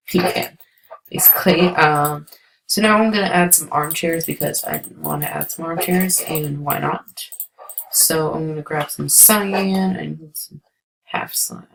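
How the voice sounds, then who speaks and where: A woman giving chatty, rambling commentary while playing a building game, her voice going hoarse. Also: deep voice, slight background noise, rough recording. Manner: chatty, rambling, many ums. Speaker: let's play creator. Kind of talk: casual gameplay commentary.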